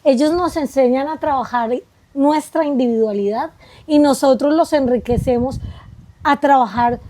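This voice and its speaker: A woman's voice: high-pitched, warm tone, a little hiss or hum. A woman, talking reflectively as a guest, spiritual in tone and tagging rhetorical questions onto her points. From Colombia. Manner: spiritual, musing, quick asides with rhetorical questions. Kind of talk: reflective conversation.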